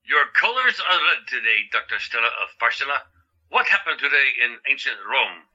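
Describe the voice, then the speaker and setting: Audio drama, muffled recording: man as earnest patient playing Roman sentry, grand, formal, very animated pitch. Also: normal speed, full character voice. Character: kind-hearted male hospital patient, grandly playing ancient Roman sentry.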